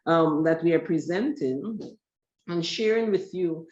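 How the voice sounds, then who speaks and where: A woman from Guyana, a researcher, presenting her work in an academic webinar in a measured, deliberate way. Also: fairly low pitch, a little mellow. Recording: echoey, dull call audio.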